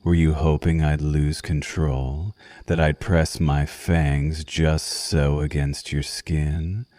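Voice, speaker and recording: Man acting a seductive vampire lover, dark mellow voice, close dry sound, languid teasing murmur, ASMR roleplay. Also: extremely low pitch, level tone, takes it slowly, no room sound. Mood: teasing, intimate. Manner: languid, teasing, purring endearments.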